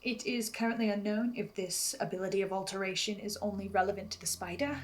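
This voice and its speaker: A woman's voice: mid-range voice, fairly even intonation, fast talker. A woman narrating a scripted analysis as host, speaking earnestly and theatrically with precise wording. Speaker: host of a fan theory video series.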